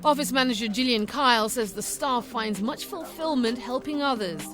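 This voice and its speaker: A woman presenting a television news magazine, reading scripted narration in a measured, formal way. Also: on the high side. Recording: voice-over with music behind.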